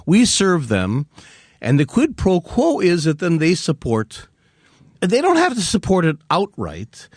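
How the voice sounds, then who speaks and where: An older man speaking as a podcast guest with a fairly high voice that swings widely in pitch. He presses his points emphatically, stacking repeated words as he builds an argument. The studio sound is dry and close. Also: wildly swooping pitch, a little slow, no echo. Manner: acts out mock quotes in a raised voice. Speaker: author and activist guest. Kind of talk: podcast interview.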